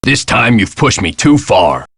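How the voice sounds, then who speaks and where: An actor playing a gruff, put-upon castle hotel caretaker in a video game, with a folksy, sardonic and exasperated way of talking. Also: male voice, fairly low pitch, very animated, unhurried, fairly bright tone, no room sound, clean studio sound, exaggerated voice. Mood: stern, exasperated. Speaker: caretaker and front desk clerk. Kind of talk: scripted video game character lines.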